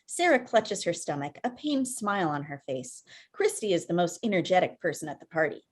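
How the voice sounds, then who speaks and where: Brief: female narrator, slightly low pitch, reads screenplay action lines on a video call. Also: lively intonation, a little fast. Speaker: stage-direction narrator. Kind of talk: scripted narration at a table read.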